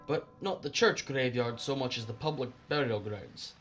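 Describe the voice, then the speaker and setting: A man's voice: medium pitch, moderate pace, lo-fi sound, music in the background, close to an everyday voice. An actor playing a cheery working-class London Underground man with a Scottish accent and a folksy, colloquial way of talking. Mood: explanatory. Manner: folksy, colloquial, matter-of-fact. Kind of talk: audio drama acting. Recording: clearly noisy background ambience.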